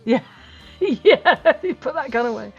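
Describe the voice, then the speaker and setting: A woman's voice: fairly low pitch, moderate pace. A woman chatting casually as a player in a tabletop role-playing game. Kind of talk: casual chat. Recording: muffled lo-fi audio with music behind. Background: background music.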